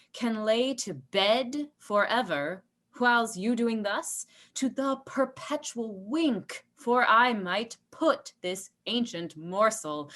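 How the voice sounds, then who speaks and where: Woman acting a scheming lord, slow and high-pitched. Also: fairly bright tone. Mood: smug, ruthless. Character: scheming male usurper lord, ambitious, slyly persuasive tempter. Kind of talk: Shakespearean radio play acting.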